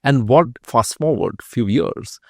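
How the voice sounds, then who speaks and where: A man's voice: mid-range voice, animated, slow, creaky, warm tone, no room sound, studio quality. A man hosting an interview podcast, asking questions and adding brief affirmations. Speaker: podcast host. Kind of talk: conversational interview.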